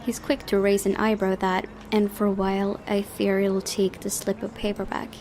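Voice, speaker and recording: A woman's voice: mid-range voice, flat delivery, moderate pace, a little mellow, a little hiss or hum. A woman hosting a gaming video, reading visual novel narration aloud and adding casual commentary.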